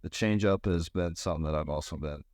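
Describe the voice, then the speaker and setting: Deep-voiced young man chatting casually. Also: quick pace, a little mellow, no echo, clean studio sound. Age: young adult. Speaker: baseball pitcher, podcast guest. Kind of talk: casual interview chat.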